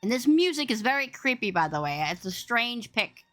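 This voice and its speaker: A woman with a loud, boomy voice hosting a casual livestream podcast, effusive, playful and rambling as she chats with her guest. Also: very animated, normal speed. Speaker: podcast host and interviewer.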